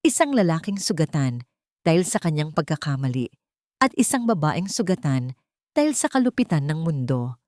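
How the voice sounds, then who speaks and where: A woman narrating a scripted audio drama in an expressive, emotive storytelling manner. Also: sits low, normal speed, no echo, studio quality.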